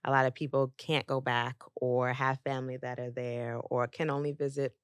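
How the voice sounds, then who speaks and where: A woman's voice: very deep voice, flat delivery, moderate pace, clean tone, dry recording. A young adult woman as a podcast guest, talking casually with lots of fillers and easy laughter. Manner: warm storytelling with frequent fillers and laughs. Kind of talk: casual interview chat.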